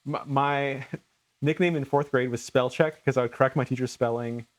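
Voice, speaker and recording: A man's voice: mid-range voice, steady tone, normal speed. An American man hosting a cooking channel, answering questions in casual chat with an earnest, self-deprecating way of talking. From rural Minnesota, United States.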